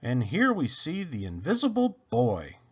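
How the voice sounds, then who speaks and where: A man reading children's poetry aloud in an animated, theatrical way with drawn-out phrasing. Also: mid-range voice, breathy edge, highs cut off. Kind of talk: expressive read-aloud of children's verse.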